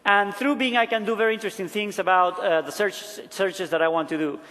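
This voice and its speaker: Male product demonstrator, Peruvian accent, fairly high voice, presenting live on stage in a big reverberant hall. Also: fairly even intonation, a little fast, sharp tone. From Peru. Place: large conference hall stage. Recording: echoey large conference hall.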